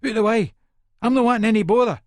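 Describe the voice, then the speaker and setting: A man acts out a scared young Edinburgh crook in an audiobook. His Scottish voice is pitched high and strained upward, pleading and panicky. It is recorded very dry. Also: fast, no echo, lightly acted. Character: frightened young small-time burglar, male, pleading. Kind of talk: acted audiobook dialogue.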